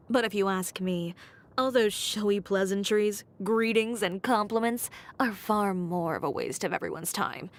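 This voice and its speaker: A woman's voice: mid-range voice, a little slow, close to an everyday voice. A woman voicing a blunt, haughty young fashion designer in a video game, speaking in a curt, dry and self-assured way. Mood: dismissive. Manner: curt, dry, matter-of-fact, coolly condescending. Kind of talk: scripted game character voice lines.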